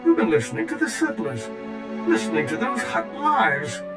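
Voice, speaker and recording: A man's voice: sits high, low-quality recording. An actor playing a bitter, resigned and weary old man in a video game. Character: bitter, defeated old male local, resentful and weary. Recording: music behind the voice.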